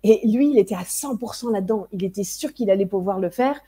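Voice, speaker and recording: A woman in her thirties talks parents through handling tantrums on a live stream. Her voice is mellow and sits at a middle pitch. She speaks casually and hesitantly, often restarting her sentences and filling pauses. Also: husky. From France. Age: 30s. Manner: hesitant, many fillers and restarts. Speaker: parenting coach. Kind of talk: live stream advice talk.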